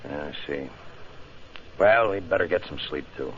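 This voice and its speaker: An actor playing a firm, steadying Air Force colonel with an American accent, controlled and commanding, in vintage radio drama style. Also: male voice, rumbling low, animated, a little slow, fairly bright tone, heavily acted. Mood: grave, intense. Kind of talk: 1950s radio drama acting. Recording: dull, hissy 1950 radio recording.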